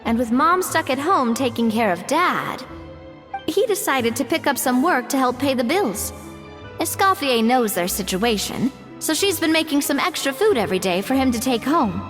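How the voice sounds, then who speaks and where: High, lively young lady. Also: normal speed, faint music behind. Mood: explaining, sympathetic. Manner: animated, expressive. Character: spirited, kindly young woman, knowing and teasing. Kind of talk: anime game voice acting.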